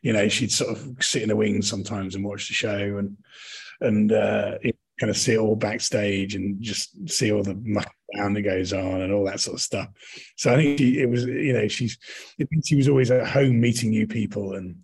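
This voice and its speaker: A middle-aged man with a British accent talks in a low, noticeably husky voice. He reminisces conversationally as an interview guest, wandering through his thoughts and often recasting a sentence halfway through. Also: steady tone, moderate pace. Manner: rambling, hedging, often rephrasing mid-sentence. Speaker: interview guest, touring actor and director.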